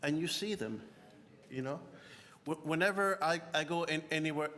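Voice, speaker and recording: A man giving an unscripted public statement at a meeting, speaking haltingly and often repeating and stammering on words. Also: fairly high pitch, fairly bright tone. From born in Croatia, Canada (Scarborough). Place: large indoor meeting room. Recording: echoey hall, clear background noise.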